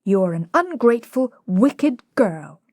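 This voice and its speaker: Woman doing an imperious male professor, blustering, slow deliberate delivery, breathy voice. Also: fairly high pitch, very animated, darkish tone, no echo, clean studio sound, pitched above the performer's own voice, heavily acted. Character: Higgins, domineering male phonetics professor.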